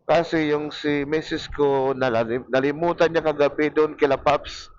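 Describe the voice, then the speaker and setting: A man with a Filipino accent narrating a casual motorcycle vlog to viewers, thinking aloud in a halting way as he rides. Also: fairly high pitch, steady tone, clear, resonant tone, no room sound. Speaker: motorcycle vlogger. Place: outdoors, riding a motorcycle. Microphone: small mic near the mouth inside helmet. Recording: muffled helmet mic with wind noise.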